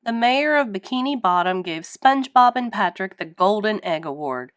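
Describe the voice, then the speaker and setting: A woman reading a children's story aloud in an animated narrating style. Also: mid-range voice, moderate pace, clear, resonant tone, no room sound, clean studio sound. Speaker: storybook reader.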